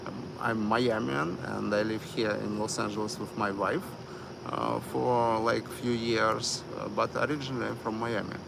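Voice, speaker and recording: A man hosting a live stream, talking slowly with many fillers and broken grammar. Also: fairly low pitch, steady tone, vocal fry, noisy background. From United States. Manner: hesitant, many fillers, non-native grammar. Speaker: livestream host.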